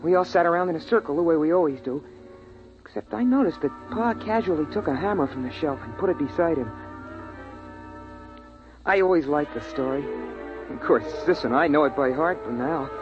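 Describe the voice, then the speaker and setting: An earnest, wondering boy played by a man tells his story in 1950s radio drama style. His voice sits fairly high and youthful, plain-spoken in delivery. The dull vintage recording has music behind it. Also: animated, brisk, pauses often, lightly acted. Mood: earnest, wistful. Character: earnest boy narrator living in a frozen world.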